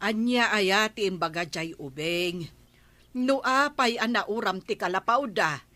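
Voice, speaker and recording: A woman's voice: medium pitch, measured pace, bright, forceful tone, dry recording. A woman playing a practical, scolding wife in an Ilocano radio comedy drama. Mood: questioning.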